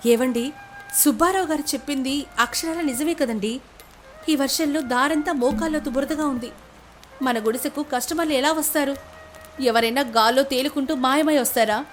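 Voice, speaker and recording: A woman's voice: sits high, normal speed, soft background music, lightly acted. A woman playing the anxious, plaintive wife of a poor food seller in a dramatized moral story. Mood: frightened. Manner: plaintive, fretful, questioning.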